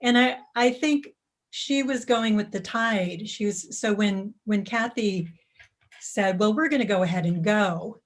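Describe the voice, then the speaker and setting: A woman's voice: mid-range voice, measured pace. A woman speaking casually and reflectively in an online discussion, restating phrases as she reasons things out. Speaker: actor from a staged reading, discussion participant. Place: online video call. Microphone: video call.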